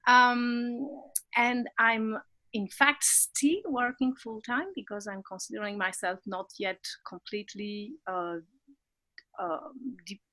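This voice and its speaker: A woman with a non-native English accent, a podcast guest in casual conversation, animated and often laughing at herself while searching for words. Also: a little high, slow, low-quality recording. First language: not English.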